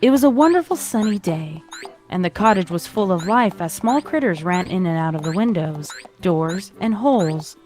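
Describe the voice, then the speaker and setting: A woman narrates a fan-written story in a scripted storybook style. Her pitch is a bit lower than most women's. Music runs behind the reading. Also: animated, moderate pace, darkish tone.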